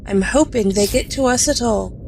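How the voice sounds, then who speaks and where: A woman's voice: fairly high pitch, normal speed, vocal fry, background noise. A woman playing an anxious, caring rabbit doctor trapped in danger in a space-adventure audio drama. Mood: grim, comforting.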